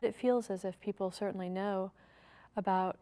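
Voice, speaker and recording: A woman answering interview questions thoughtfully, pausing with fillers as she goes. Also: medium pitch, steady tone, dark tone. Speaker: activist interviewee.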